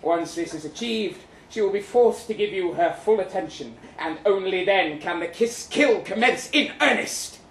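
A man giving an expressive, arch, theatrical reading of a first-person short story at a live event. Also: sits high, moderate pace, hardly any pauses. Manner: expressive, wry, arch delivery. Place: indoor live reading event before an audience. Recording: echoey live room, audible noise.

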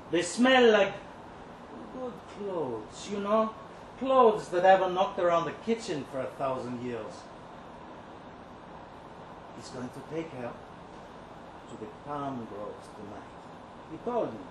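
A man performing a dramatic story monologue as a streetwise, cynical, lovelorn kitchen worker, with slangy, halting conversational swagger. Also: a little high. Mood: bitter, yearning. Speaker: actor reading a short story aloud. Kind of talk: dramatic first-person monologue reading. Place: live reading event in an indoor venue. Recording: roomy, noisy live event, distant mic.

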